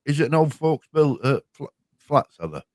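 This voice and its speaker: A man's voice: fairly high pitch, very animated, dry recording, clean studio sound. An older man from West Yorkshire with a West Yorkshire accent, easygoing and chatting on a livestream with a friend. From West Yorkshire, near Leeds. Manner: easygoing, down-to-earth, quick to agree. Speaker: livestream co-host. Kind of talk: casual livestream chat.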